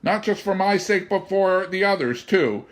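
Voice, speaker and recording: A man's voice: mid-range voice, brisk, voice pushed up, close to an everyday voice. A man voicing a desperate young man who pleads urgently and breathlessly in a raised voice.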